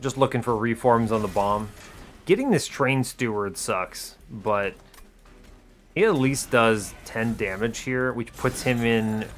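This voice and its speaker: A man's voice: mid-range voice, unhurried, a little hiss or hum. A man giving casual video game commentary, thinking aloud in a wry, chatty way with short clipped reactions. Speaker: video game streamer explaining his play.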